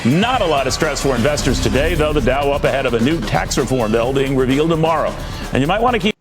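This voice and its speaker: A man's voice: medium pitch, lively intonation, quick pace. A man reading scripted business news as a television presenter. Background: music.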